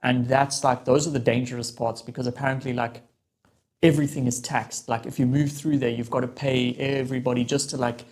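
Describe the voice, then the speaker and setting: Young man, researcher guest, filler-heavy casual talk, mid-pitched voice. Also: flat delivery, a little fast, a little mellow. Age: young adult. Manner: chatty, filler-heavy, thinks aloud. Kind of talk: casual chat.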